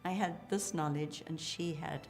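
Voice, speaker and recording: A woman's voice: deep voice, fairly even intonation, normal speed, vocal fry, soft background music. A middle-aged woman speaking as a psychologist in an interview, articulate and enthusiastic. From Zimbabwe. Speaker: psychologist, clinic co-founder. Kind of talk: interview account.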